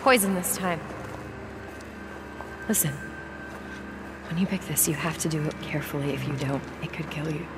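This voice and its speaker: An actress playing an earnest military scientist and officer in a video game. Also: female voice, low voice, normal speed, pauses often, very noisy, close to an everyday voice. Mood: calm, instructive. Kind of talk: video game cutscene acting. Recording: game cutscene with music behind.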